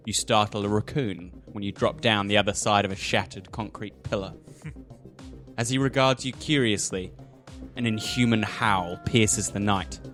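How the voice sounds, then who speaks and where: A man's voice: on the low side, steady tone, unhurried, fairly bright tone, faint music behind. A man narrating a tabletop roleplaying game as game master, with descriptive storytelling.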